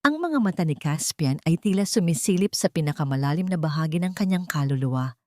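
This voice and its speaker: A woman narrates a scripted love drama audiobook. Her pitch is low for a woman, and her delivery is dramatic storytelling. The recording is dry, with no room sound. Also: animated, a little fast, a little mellow, no room sound.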